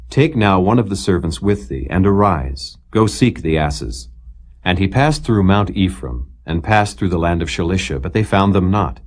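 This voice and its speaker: A man narrating the Bible from a script, solemn and measured, phrase by phrase. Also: sits low, a little mellow. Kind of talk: scripted scripture reading, King James English.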